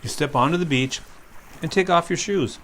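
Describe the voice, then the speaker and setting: A park ranger reading a sensory story aloud to young children, gently asking them questions as he goes. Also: a little high, normal speed, slight background noise.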